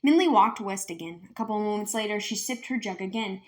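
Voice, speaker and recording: A woman with a mid-pitched voice narrates a children's book. She reads as a storyteller, in scripted read-aloud prose. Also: fast.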